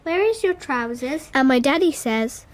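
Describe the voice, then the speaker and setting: A woman's voice: high voice, expressive, moderate pace, no room sound. A woman playing a young, innocent, anxious girl who speaks in simple childlike phrases in a radio drama.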